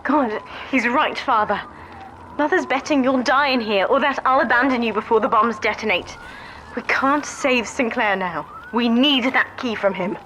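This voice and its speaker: A woman's voice: a little high, moderate pace, noisy background, close to an everyday voice. A woman playing a devoted, determined young daughter guiding her father in a video game, earnest and articulate. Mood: urgent. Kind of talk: dramatic video game voice acting.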